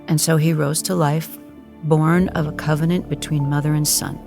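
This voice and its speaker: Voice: mid-range voice, level tone, moderate pace. A narrator delivering scripted dramatic narration in a solemn, mock-epic storytelling manner. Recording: music clearly behind the voice.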